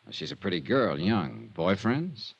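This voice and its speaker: An actor playing a level-headed American insurance investigator in a 1950s radio detective drama, speaking in a dry, terse, measured way. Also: male voice, low-pitched, sing-song, gravelly, breathy edge, exaggerated voice. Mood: calm, probing. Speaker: lead actor and narrator. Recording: muffled old-time radio recording.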